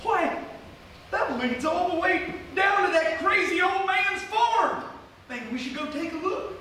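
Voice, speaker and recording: An eager, slow-witted American country deputy in a comic radio play. His voice is very high and bright, full of folksy, stammering outbursts. It comes from a distant mic in a very echoey theatre. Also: animated, heavily acted. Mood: alarmed. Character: excitable, dim-witted rural deputy, eager and apologetic. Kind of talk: comedic live radio theatre acting. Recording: echoey live theatre, far mic, faint noise.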